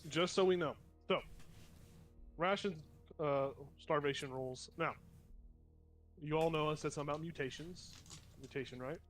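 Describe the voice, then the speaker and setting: A man's voice: a little high, normal speed, pauses often, soft background music. A young man running a tabletop game as game master, sniffling from a cold and explaining rules step by step with plenty of fillers.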